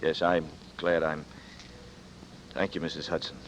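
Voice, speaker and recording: A deep-voiced, bewildered radio hero. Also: male voice, little pitch movement, a little hiss or hum. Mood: uneasy. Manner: hesitant, halting speech. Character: bewildered man drawn into danger. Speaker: first-person narrator and lead. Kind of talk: 1940s radio drama acting and narration. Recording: dull lo-fi old radio broadcast. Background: music score.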